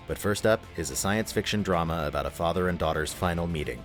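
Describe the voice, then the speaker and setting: A man's voice: sits low, fast talker, soft background music. A man hosting an audio play festival, delivering a scripted introduction. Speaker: festival host.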